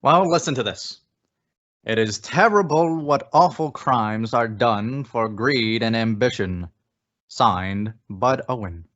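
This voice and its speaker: A man's voice: mid-range voice, takes it slowly, fairly bright tone, dull audio. A man playing a protective, plainspoken fatherly figure in an old-time radio suspense play, folksy and emphatic. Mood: grave, emphatic.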